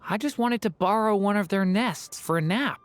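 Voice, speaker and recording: A man's voice: sits high, moderate pace, slight background noise, lightly acted. A man playing a mild, earnest young horned boy who was once a dragon, in a fantasy video game. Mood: earnest, sheepish.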